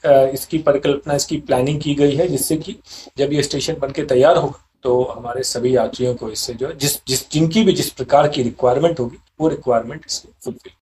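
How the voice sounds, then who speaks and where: A man speaking as a railway official in an interview, explaining in a halting way and mixing English terms into his Hindi. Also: medium pitch, steady tone, darkish tone. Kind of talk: interview explanation of a development project. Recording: clearly noisy location recording with ambience.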